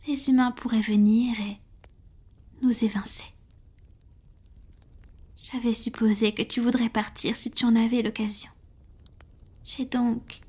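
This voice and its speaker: A woman playing a tender, devoted female vampire in an intimate roleplay audio, whispering slowly and hesitantly to her lover. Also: a little high, mellow. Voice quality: breathy, whispered. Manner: slow, hesitant, affectionate, addressing a lover.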